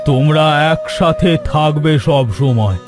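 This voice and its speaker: A man's voice: fairly high pitch, over music, lightly acted. A man voicing a dying old father in a children's cartoon, speaking in an earnest, pleading, solemn way. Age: older. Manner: slow, earnest entreaty.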